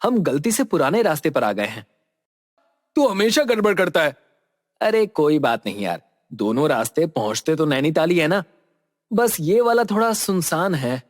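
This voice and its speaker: A man voicing excitable young friends bickering casually in a horror story. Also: on the high side, normal speed, long reverb tail, voice pushed down. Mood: annoyed, casual. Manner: exclamatory, casual banter. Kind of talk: horror storytelling character voices.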